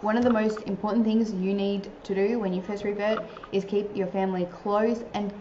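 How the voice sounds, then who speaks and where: A young woman speaks in a casual, earnest advice talk, her speech dotted with fillers. Her voice is soft and mellow. The recording sounds roomy and a little dull. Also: medium pitch, little pitch movement, normal speed, slight background noise. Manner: earnest, chatty, many filler likes. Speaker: vlogger giving advice to new converts.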